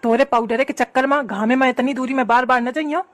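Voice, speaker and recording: A man plays a stubborn village cartoon husband who grumbles quickly in a rural eastern Hindi-belt accent. The voice is high, with faint noise behind it. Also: fairly even intonation, a bit bright. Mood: grumpy.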